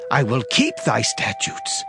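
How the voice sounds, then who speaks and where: A man reads scripture as a dramatized recording. His voice sits in a middle register, with music playing clearly behind him. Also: mid-range voice, wildly swooping pitch, unhurried. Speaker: scripture reader. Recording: reading over a music bed.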